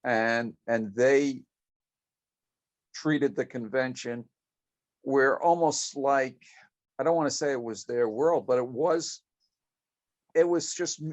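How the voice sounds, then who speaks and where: A man reminiscing casually on a group video call, speaking in halting, hesitant phrases. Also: medium pitch. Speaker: longtime convention committee member. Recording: dull lo-fi video call audio.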